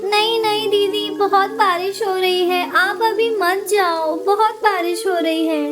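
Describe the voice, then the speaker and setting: A woman plays a small, young cartoon bird girl with a very high voice. She pleads and gets excited in a slow singsong. Loud music plays behind her. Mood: anxious, pleading. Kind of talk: Hindi children's cartoon voice acting.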